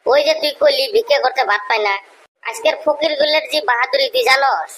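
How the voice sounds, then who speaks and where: A high-pitched, fast-talking cartoon villager voiced by a man, brazen and full of schemes. He wheedles and banters in a rural Bengali dialect. The voice is electronically raised, with music playing behind it. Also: fairly bright tone, slight background noise. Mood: bantering. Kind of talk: comic cartoon dialogue.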